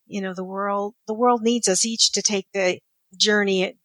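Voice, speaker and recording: A woman's voice: medium pitch, fairly even intonation, measured pace, fairly bright tone, dry recording. A woman guest on a podcast in casual conversation, earnest and reflective, laughing lightly at herself.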